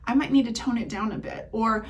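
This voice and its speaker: A cheerful, chatty woman gives coaching tips in a fairly high voice, laughing easily between points. Spanish and German were her first languages. She sounds a little distant from the microphone. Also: fairly quick. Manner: upbeat, chatty, laughs often. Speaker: leasing trainer.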